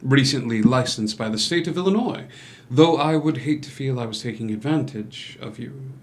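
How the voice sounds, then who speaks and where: An actor playing a courteous, hesitant gentleman doctor new in town, speaking in formal, halting phrases at a theatrical table read. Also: mid-range voice, lightly acted. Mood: deferential, ingratiating. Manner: formal, halting, old-fashioned politeness. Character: courteous, hesitant male doctor newly arrived in town, subtly ingratiating.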